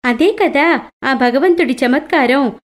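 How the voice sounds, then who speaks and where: Cuckoo, animated moral tale: woman performer, high-pitched, gentle, wistfully discontented bird. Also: unhurried. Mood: kindly advising.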